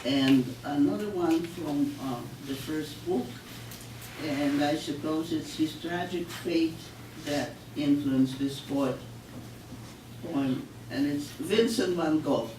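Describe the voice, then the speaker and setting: An older woman reading her poetry aloud in a measured, solemn way with deliberate pauses. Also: sits low. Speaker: poet. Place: public library room, live reading. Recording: echoey room, distant mic, noisy.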